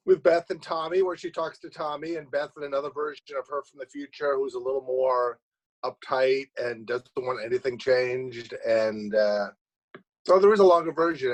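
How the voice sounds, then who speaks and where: A man answering interview questions casually as a guest writer, in a hesitant, halting way. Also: medium pitch, low-quality recording. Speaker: playwright guest.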